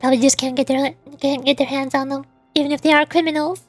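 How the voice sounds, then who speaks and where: A woman's voice: high-pitched, steady tone, quick pace, no room sound. A woman giving casual, playful game commentary, often thinking aloud hesitantly as she goes. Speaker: streamer voice-acting along with a video game. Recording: music behind the voice. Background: game music.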